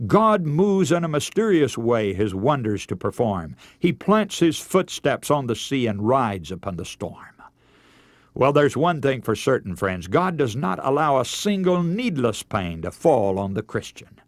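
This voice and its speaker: A man delivers a radio sermon in a medium-pitched voice with very lively intonation. His oratory is earnest and measured, shifting between reading scripture and exhorting listeners. The sound is dry, with little room around it. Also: no echo. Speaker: preacher on a radio broadcast.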